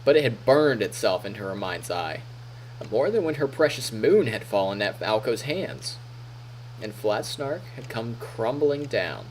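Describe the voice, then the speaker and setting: Male streamer-narrator, medium pitch, very expressive read-aloud of visual novel prose, soft music behind. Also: measured pace, slight background noise, poor audio. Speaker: live streamer.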